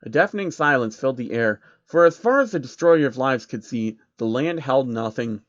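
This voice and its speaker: Male story narrator, medium pitch, scripted comic reading, dry and slightly muffled sound. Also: animated, normal speed, no room sound.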